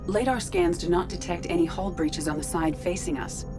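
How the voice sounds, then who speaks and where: Casting: actress as composed female ship AI for a game, slightly low, precise, detached, over strong music. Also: normal speed, close to an everyday voice. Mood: detached, factual. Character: composed, analytical female ship computer intelligence. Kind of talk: video game acting. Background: loud music.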